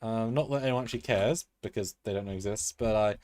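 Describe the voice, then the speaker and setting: A man with a fairly low voice who rambles in a chatty way, restarting his sentences as he goes. He co-hosts a casual live stream, and the sound is dry and close with no echo. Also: fairly quick, darkish tone. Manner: rambling, many fillers and restarts. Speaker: co-host. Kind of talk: live-stream review chat.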